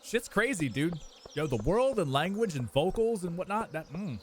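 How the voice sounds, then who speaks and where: A young man, chatting casually with his live-stream viewers, animated and prone to squeaky bursts of laughter. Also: moderate pace, a little hiss or hum.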